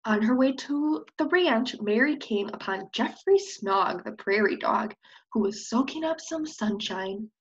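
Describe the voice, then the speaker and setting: A woman's voice: on the high side, animated, measured pace, husky. A young woman teacher reading a children's storybook aloud expressively and prompting with questions, recorded on a computer microphone. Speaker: preschool teacher. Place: indoors on a screen-share video call. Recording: slightly dull webcam audio.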